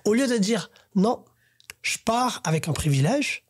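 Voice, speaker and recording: A man's voice: sits high, sing-song, unhurried, breathy edge, no echo, studio quality. A man, host of a podcast, talking earnestly in a casual panel discussion and thinking aloud as he goes. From Haitian family, raised in Canada.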